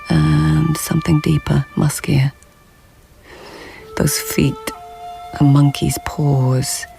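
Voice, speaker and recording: Brief: watchful court lady, slow and gravelly, faint music beneath. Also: sits low, exaggerated voice. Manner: slow, hushed, reflective narration. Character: observant court lady narrating her diary thoughts. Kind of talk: BBC radio drama inner monologue.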